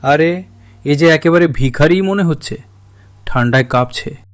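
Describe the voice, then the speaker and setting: A man's voice: a little high, measured pace, faint music behind, natural voice. A man voicing a kindly, generous village merchant in a cartoon fairy tale, speaking in an earnest way. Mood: pondering.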